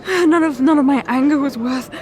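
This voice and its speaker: A woman performing an emotional ASMR roleplay confession, tearful and halting, repeating words and breaking off. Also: sits high, a crowd in the background. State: tearful, crying.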